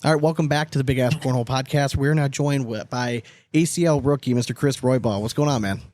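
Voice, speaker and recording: A man's voice: medium pitch, quick pace, a little mellow, no echo. A man hosting a podcast in casual, slangy, sweary conversation. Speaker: podcast host. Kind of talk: casual chat interview.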